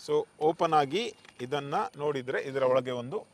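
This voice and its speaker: A man's voice: medium pitch, measured pace. A man hosting an interview with a coastal Karnataka accent, putting questions and summing up points for viewers. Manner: repeats and sums up answers. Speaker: talk show host.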